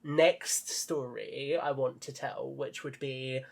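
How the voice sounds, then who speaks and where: A man chatting casually as a podcast guest, talking enthusiastically about his writing and quick to laugh. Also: medium pitch, fairly even intonation, vocal fry, slight background noise. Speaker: podcast guest, audio drama writer and narrator. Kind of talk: casual chat.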